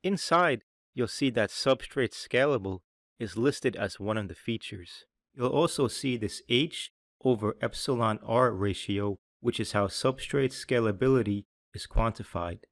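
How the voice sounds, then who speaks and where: A man with a mid-range voice narrates a scripted software demonstration. His delivery is measured and instructional, explaining each step as a tutorial narrator would. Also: mid-range voice.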